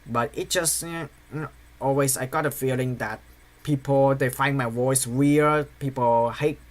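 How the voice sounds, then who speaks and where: A young man from Vietnam with a Vietnamese accent, English not his first language, giving a halting, apologetic personal confession full of fillers and restarts. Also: mid-range voice, fairly even intonation. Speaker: channel host.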